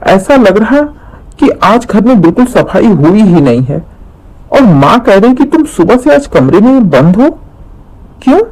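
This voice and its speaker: A man's voice: sits high, big pitch swings, a little slow, darkish tone, full character voice, rough recording. A man voicing a young husband in a cartoon story, tired and exasperated, with pleading questions. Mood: irritated. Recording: music under the voice.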